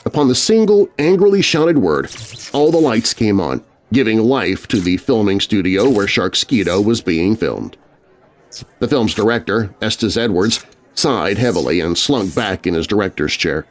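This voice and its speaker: A man's voice: lowish voice, expressive, moderate pace. A man narrating a scary story for children in a theatrical, suspenseful, scripted style. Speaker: narrator and host.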